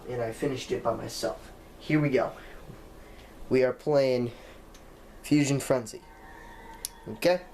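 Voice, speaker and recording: A teenage boy hosting his own gaming channel, chatting casually and rambling as he corrects himself mid-sentence. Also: medium pitch. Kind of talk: casual let's-play chat. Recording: echoey room with faint background noise.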